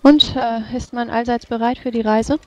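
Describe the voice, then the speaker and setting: Creaky-voiced polite scholarly lady, played by a woman. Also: fairly high pitch, fairly even intonation, normal speed, lightly acted. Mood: polite, chatty. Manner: courteous formal address. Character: polite, learned noblewoman scholar. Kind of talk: tabletop roleplay in character. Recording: dull lo-fi microphone.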